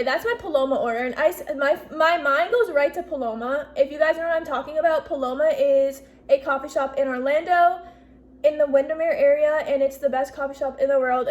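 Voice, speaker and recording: Bubbly high-voiced young woman. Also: a little mellow. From US Northeast. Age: 20s. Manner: bubbly, chatty, heavy use of "like" and "literally". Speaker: YouTuber. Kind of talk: casual Q&A vlog.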